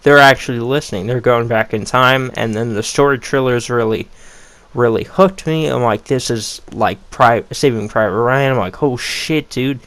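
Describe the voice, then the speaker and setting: A man's voice: mid-range voice, normal speed, fairly bright tone, dry recording. A young man ranking upcoming games in a casual vlog, blunt and rambling with a lot of swearing, his voice a little worn. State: losing his voice. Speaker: gaming YouTuber.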